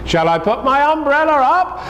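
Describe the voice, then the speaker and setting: A man's voice: extremely high pitch, sing-song, moderate pace, fairly bright tone, long reverb tail, dull audio, a little hiss or hum, voice pushed up, full character voice. A man voicing an innocent, helpful little boy in a storybook, speaking simply and politely. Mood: curious, obliging. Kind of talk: storybook character reading.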